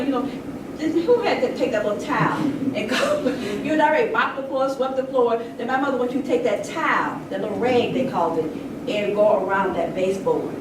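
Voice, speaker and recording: A woman's voice: sits high, background noise. A middle-aged woman giving an impromptu, emphatic public talk, telling stories and preaching a little as she leads a discussion. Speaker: panel speaker leading a community discussion. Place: large echoey indoor venue. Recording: very echoey hall, distant mic, loud music.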